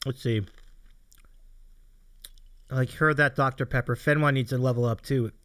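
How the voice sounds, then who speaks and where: A man's voice: mid-range voice, fairly even intonation, moderate pace, fairly bright tone, no echo. A man hosting a livestream, chatting casually with his guest and viewers. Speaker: livestream host.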